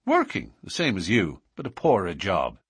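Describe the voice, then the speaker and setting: Man playing a cool, bold outlaw, lively pitch. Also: normal speed, slightly rough, no room sound, clean studio sound, exaggerated voice. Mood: cool, assured. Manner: self-assured, defiant, matter-of-fact. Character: cool, bold male outlaw newcomer, quick-tongued. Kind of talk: audiobook character dialogue.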